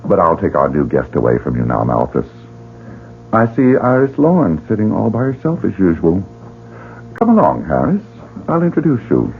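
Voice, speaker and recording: A man's voice: sits low, wildly swooping pitch, normal speed, gravelly, slight background noise, heavily acted. A man playing a suave, sinister club director in an old radio drama, teasing and theatrical. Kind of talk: 1940s radio drama acting. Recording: phone-like old radio broadcast.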